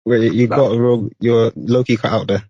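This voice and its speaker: A man's voice: mid-range voice, fairly even intonation, moderate pace, dark tone, slightly rough, dry recording, lo-fi sound. A young man hosting a casual podcast interview, laid-back and slangy, laughing often. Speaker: podcast host.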